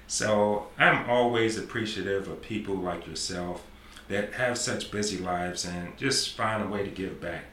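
A man's voice: low-pitched, measured pace, fairly bright tone. A man in his forties hosting a podcast interview, talking casually and repeating affirmations. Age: 40s. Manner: repetitive, affirming, talkative. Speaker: podcast host. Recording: roomy sound with faint noise.

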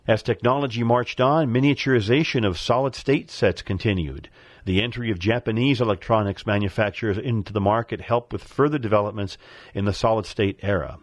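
A middle-aged man giving a scripted, nostalgic narration in measured, formal phrasing, as a contributor to a radio show. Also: on the low side, dry recording, muffled recording.

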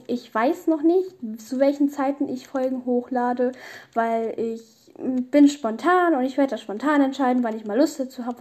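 A woman's voice: sits high, mellow. A young woman, hosting a casual solo podcast in a rambling, opinionated way full of youth slang. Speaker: podcast host.

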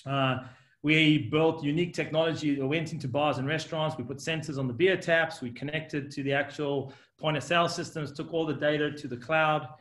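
A man's voice: on the high side, fairly even intonation, a little fast, fairly bright tone. A man in his forties from South Africa, with an accent between South African and Australian, telling his career story as a podcast guest with many ums and uhs. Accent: South African and Australian mix. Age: 40s. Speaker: guest, company executive. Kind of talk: podcast interview. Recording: roomy echo.

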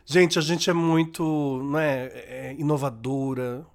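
A Brazilian man co-hosting a chatty podcast, his voice sitting a bit high. He talks in a playful, camp, teasing way and often breaks into laughter. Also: little pitch movement, takes it slowly, creaky. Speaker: podcast co-host. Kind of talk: campy casual chat.

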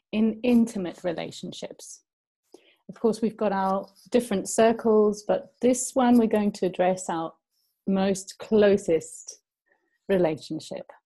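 A woman who is an awakening coach and mindfulness instructor, co-hosting a casual conversation in a soft, gentle way with frequent giggles. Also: mid-range voice, a little slow.

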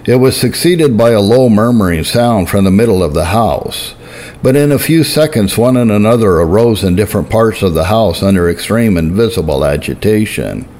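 A man giving a solemn, deliberate reading of historical religious writing, slowing down to stress key phrases. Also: fairly low pitch, expressive, a little mellow. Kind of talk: measured scripted reading.

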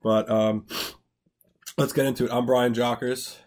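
A man's voice: mid-range voice, little pitch movement, measured pace, a little mellow. A man in his twenties co-hosting a casual podcast, joking and laid-back, swearing now and then. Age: 20s. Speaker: podcast co-host. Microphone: podcast mic.

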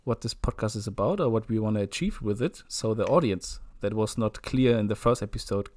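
A man's voice: fairly low pitch, fairly quick, a little mellow. A young man in his twenties co-hosting a podcast in easygoing, casual chat, hesitating with fillers. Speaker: podcast co-host.

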